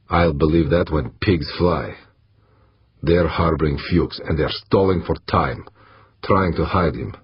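A man voicing a ruthless, paranoid mercenary ship commander in an audiobook, hard, raspy and commanding. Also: rumbling low, muffled recording, heavily acted.

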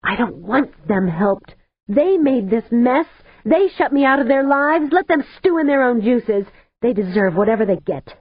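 A woman's voice: fairly high pitch, expressive, measured pace, slightly rough, dry recording, voice pushed up. A woman voicing an older female scientist and grandmother, tearful and bitter. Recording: tinny phone-like sound.

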